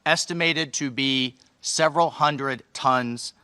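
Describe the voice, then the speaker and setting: A man delivering a formal prepared speech at a measured pace, reading from a text. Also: medium pitch, bright, forceful tone, no echo. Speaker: official giving a speech.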